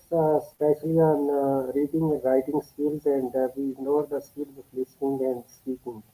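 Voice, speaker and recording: A man's voice: mid-range voice, dark tone, poor audio. A man from Kashmir hosting a podcast interview over a video call, speaking hesitantly with many uhs. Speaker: podcast host, teacher.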